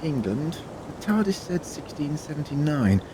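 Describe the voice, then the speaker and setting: An actor playing a learned, eloquent time traveller in an audio drama, with a theatrical, drily humorous manner. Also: male voice, on the high side, big pitch swings, moderate pace, background noise. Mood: musing. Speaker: lead actor.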